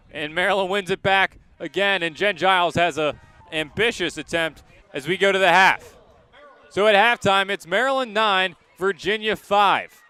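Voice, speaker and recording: A man's voice: sits high, bright, forceful tone, clean tone. A young man calling a game live as a student radio play-by-play commentator. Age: young adult.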